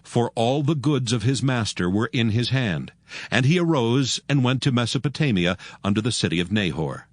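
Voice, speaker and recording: A man narrating scripture from a script in a measured, formal manner. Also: medium pitch, lively intonation, dry recording.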